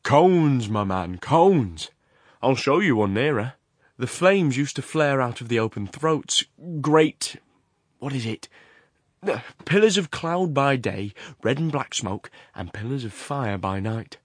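A man performs a domineering ironworks man in a dramatized storybook. His voice is raised well above a narrator's, loud and emphatic, in a dry, close recording. He talks expansively and feverishly, with menace underneath. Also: mid-range voice, expressive, measured pace, no echo, pitched above the performer's own voice. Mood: insistent, expansive. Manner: loquacious, emphatic, abrupt commands.

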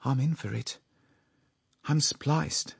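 A man's voice: fairly low pitch, expressive, unhurried, husky, dry recording, clean studio sound. A man playing a self-important writing master and awkward suitor in a story reading. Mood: horrified.

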